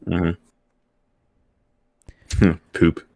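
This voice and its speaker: A man co-hosting a casual podcast, cutting in with teasing remarks and short asides. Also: dark tone. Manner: teasing, quick interjections and chuckling asides. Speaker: podcast co-host.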